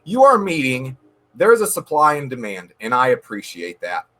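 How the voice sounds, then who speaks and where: A man's voice: medium pitch, huge pitch swings, normal speed, bright, forceful tone. A man, a card shop owner guest, talking earnestly and emphatically in a casual interview, often repeating words as he thinks. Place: dark room.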